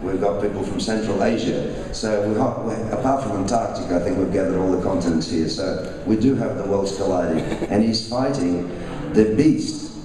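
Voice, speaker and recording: A Russian-born man raised in Australia, a boxing promoter introducing fighters at a press conference with halting, restarting sentences. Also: low voice, crowd noise. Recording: echoey hall, mic far off.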